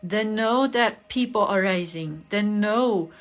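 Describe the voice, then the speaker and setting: A woman's voice: medium pitch, takes it slowly. A woman with a German accent, speaking English as a second language, chatting casually to viewers while hosting a spiritual livestream. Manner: chatty, greets viewers, frequent laughter and fillers.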